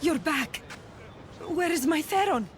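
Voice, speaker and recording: A woman's voice: medium pitch, normal speed, close to an everyday voice. An actress playing an anxious, proud orator and mother in a video game, speaking in an urgent, formal manner. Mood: excited, hopeful. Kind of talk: video game cutscene acting. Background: background music.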